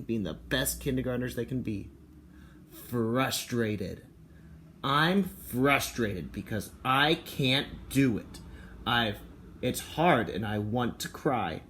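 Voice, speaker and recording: Guy kindergarten teacher doing a read aloud, normal pitch, expressive n encouraging, bit of background noise. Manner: expressive, encouraging, simple child-directed phrasing. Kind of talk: picture book read-aloud and talk to children and parents.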